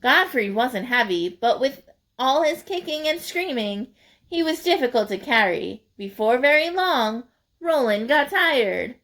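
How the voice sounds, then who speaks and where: A woman storyteller reading a children's picture book aloud expressively, deliberate and emphatic for young listeners. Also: sits high, animated, fairly bright tone.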